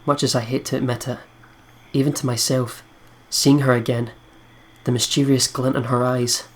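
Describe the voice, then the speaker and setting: A man who plays games for viewers, reading a visual novel's narration aloud. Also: medium pitch. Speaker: let's player. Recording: game music behind the voice.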